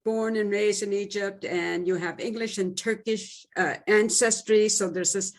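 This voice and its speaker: A woman's voice: medium pitch, steady tone, unhurried. A woman interviewing a guest in a casual chat, encouraging him with short affirmations.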